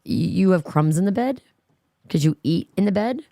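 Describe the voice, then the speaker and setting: A woman's voice: lowish voice, expressive, normal speed, warm tone, dry recording. A woman in her fifties co-hosting a casual podcast, answering with dry, sarcastic comebacks. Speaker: podcast co-host. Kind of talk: casual banter.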